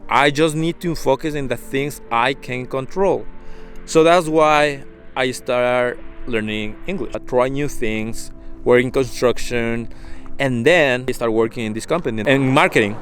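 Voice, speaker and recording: A man's voice: medium pitch, unhurried. A man from Ecuador with a Spanish accent tells his personal story in a casual, earnest vlog style, in non-native English. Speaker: vlogger. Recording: music under the voice.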